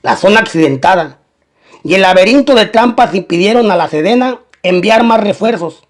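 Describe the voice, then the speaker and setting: A man's voice: high-pitched, animated, normal speed. A man reading a scripted documentary-style crime narration.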